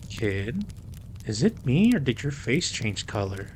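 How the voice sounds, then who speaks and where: A man's voice: mid-range voice, big pitch swings, measured pace. Man acting as a commanding mafia boss, noisy recording. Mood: teasing, dominant. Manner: teasing, commanding, slips into Spanish endearments. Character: dominant, teasing male mafia boss, indignant yet protective. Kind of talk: roleplay audio drama acting.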